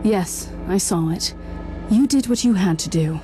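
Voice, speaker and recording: A woman playing a resolute, cool-headed inquisitor in a science-fiction game, speaking in a measured, formal, authoritative way. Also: mid-range voice, warm tone, soft background music, close to an everyday voice. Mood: composed.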